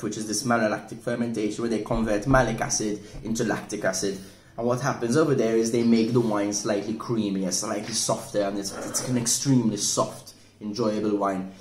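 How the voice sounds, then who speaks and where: A man in his forties, casually reviewing a wine at a table indoors, relaxed and thoughtful, often repeating words as he restarts. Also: fairly low pitch. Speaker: wine shop manager reviewing wine. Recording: echoey room with faint noise.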